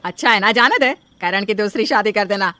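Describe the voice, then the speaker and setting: A woman's voice: mid-range voice, a little fast, dry recording, soft background music, natural voice. A woman playing a strict, conservative older mother-in-law in a cartoon story, disapproving and scolding. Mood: curt. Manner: sharp, scolding. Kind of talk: animated cartoon story acting.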